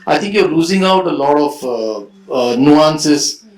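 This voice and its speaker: A man from India speaking on a festival panel, explaining his views in a measured, earnest way. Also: a little high, animated, a little mellow, echoey room, poor audio. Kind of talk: panel discussion. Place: festival stage hall.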